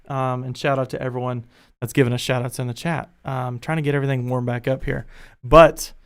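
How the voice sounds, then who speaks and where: A young man hosting a casual sports podcast from his home studio, chatty and energetic, his voice a bit worn. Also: medium pitch, smooth. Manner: energetic, chatty, thinking aloud. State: losing his voice. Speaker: podcast host.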